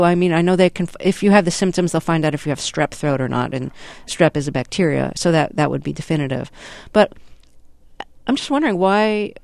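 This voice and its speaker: A woman's voice: fairly low pitch, a little mellow, no echo. A woman interviewer who has a cold, asking curious, conversational questions with small hesitations. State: has a cold and losing her voice. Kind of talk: radio interview. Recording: dry close-mic studio sound.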